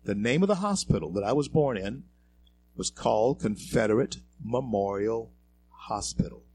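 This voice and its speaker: A talk show host from Louisiana, giving a halting monologue full of fillers and repeated words that swells into emphatic peaks. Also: male voice, on the low side, animated, darkish tone, slight background noise, rough recording. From Louisiana, American South.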